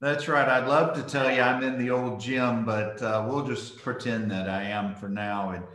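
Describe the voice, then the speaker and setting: A man's voice: medium pitch, steady tone, moderate pace, fairly bright tone. Man, fifties, friendly host, stumbling restarts, video call, roomy echo. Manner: friendly, repeats words while starting phrases. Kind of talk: casual video call interview. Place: home room with school mascot wall. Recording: echoey room over video call.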